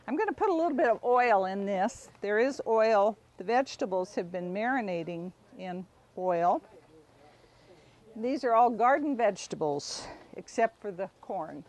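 A middle-aged woman giving a casual, unhurried cooking demonstration, explaining informally as she works outdoors. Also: medium pitch, animated, a little mellow. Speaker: home cook demonstrating a recipe. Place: outdoors in a backyard garden.

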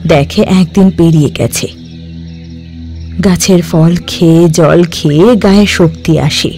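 A man narrating a cartoon folk tale in a steady storytelling voice. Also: fairly high pitch, takes it slowly, soft background music.

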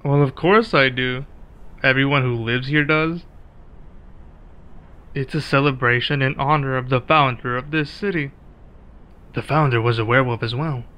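A man acting a one-sided ASMR roleplay as a laid-back, friendly werewolf local, speaking casually and in a measured way with pauses. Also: mid-range voice, pushed and bright.

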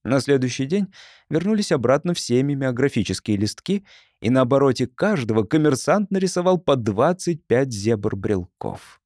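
A man's voice: medium pitch, big pitch swings, normal speed, a little mellow, no room sound, clean studio sound. A man reading a first-person story as an audiobook narrator in a scripted, storytelling style.

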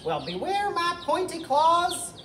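A woman's voice: extremely high pitch, very animated, slow pace, pitched above the performer's own voice, heavily acted. A woman voicing a small, brave, defiant hen in a children's storybook, with perky retorts. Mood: plucky, defiant. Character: small, brave, plucky female chicken, unafraid and defiant. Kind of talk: children's storybook read-aloud. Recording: echoey, noisy, with birdsong.

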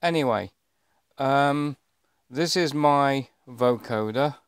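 Man, middle-aged, very slow pace, medium pitch, rambling, thinking aloud, casual home video commentary. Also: clear, resonant tone, dry recording, studio quality. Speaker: vlogger showing his own gear. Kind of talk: casual unboxing commentary to camera. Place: indoors, bedroom, filming over a bed. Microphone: handheld camera or phone held by the speaker.

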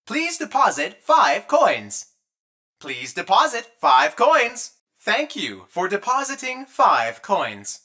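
Bright robot announcer for a game, officious, pitch jumps all over. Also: female voice, huge pitch swings, takes it slowly, heavily acted. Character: officious coin-operated animatronic robot. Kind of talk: automated announcement in a video game.

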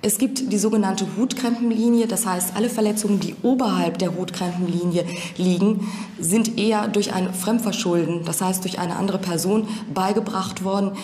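A woman's voice: fairly low pitch, dark tone. A woman giving a formal, precise technical explanation as a forensic expert in a documentary interview. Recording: echoey room with slight background noise.

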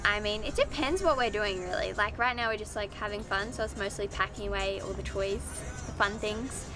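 A woman's voice: mid-range voice, fairly quick, over music. A sixteen-year-old young woman chatting casually and informally to camera in a meandering way, recorded outdoors on a sailboat. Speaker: sailing vlogger. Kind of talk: casual vlog chat to camera. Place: outdoors on a sailboat deck at sea.

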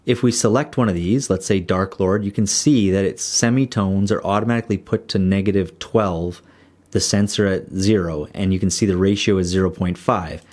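A man explaining audio editing software in a steady screen-recorded tutorial voice-over. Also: low voice, moderate pace.

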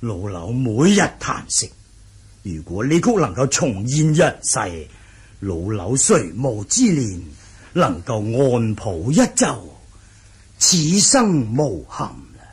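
A man's voice: medium pitch, big pitch swings, slightly rough, heavily acted. A man acting a refined, courteous old recluse in a Cantonese radio drama, formal, humble and given to sighs. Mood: wistful, musing. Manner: slow, sighing, formal and humble. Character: refined elderly reclusive manor master, courteous, music-loving.

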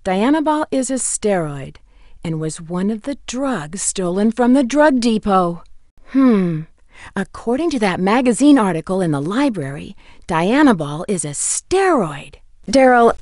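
An actress playing a plucky, curious young female amateur detective in a video game, clearly enunciated and inquisitive. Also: mid-range voice, big pitch swings, unhurried, a little mellow, no echo, studio quality. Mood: pondering. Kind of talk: video game dialogue, questioning.

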